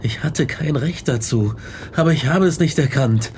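An actor playing a tormented, guilt-ridden young scientist in a German radio drama, grave and intense. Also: male voice, mid-range voice, moderate pace, a little mellow, slight background noise, natural voice. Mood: anguished, remorseful. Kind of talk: German radio drama acting, narration and dialogue.

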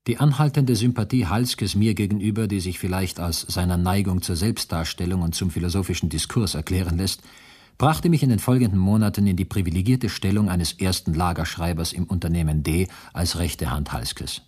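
A man acting as a former prisoner who narrates a radio play in a sober, formal, husky voice. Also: low-pitched, darkish tone. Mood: sober, detached. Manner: measured, matter-of-fact, formal. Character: sober male former prisoner recounting camp events.

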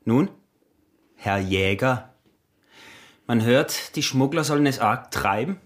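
Peasant smuggler husband, Austrian accent, medium pitch, suspicious, possessive, stubborn, needling, radio drama. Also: male voice, animated, takes it slowly. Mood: sly, needling. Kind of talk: radio drama acting in dialect.

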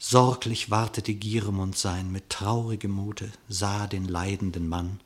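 A man narrating a classic radio play in verse, in an expressive, declamatory storytelling manner. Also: fairly low pitch, unhurried.

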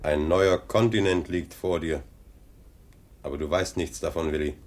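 An actor playing a grandiose, tempting adventurer who appears as if in a dream, declaiming theatrically in a 1950s German radio drama. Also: male voice, extremely low pitch, steady tone, moderate pace. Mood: distant, beckoning.

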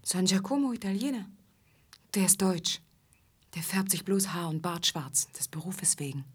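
An actress playing a wary, downtrodden young touring musician in a radio play, guarded, plaintive and direct. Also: female voice, fairly low pitch, normal speed, husky. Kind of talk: German radio play acting.

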